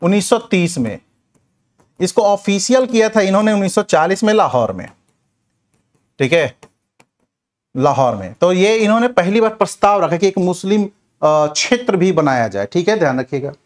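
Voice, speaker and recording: A man's voice: high voice, animated, moderate pace, pauses often. A man teaching an exam revision class in question-and-answer form, addressing students directly with repeated check-in tags. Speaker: history teacher for competitive exams.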